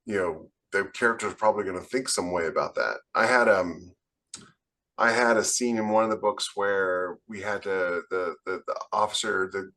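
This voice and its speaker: A man with a fairly low voice talks as a podcast co-host. His delivery is casual and conversational, rambling along in an animated storytelling way. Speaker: podcast co-host, military science fiction author. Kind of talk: casual panel chat.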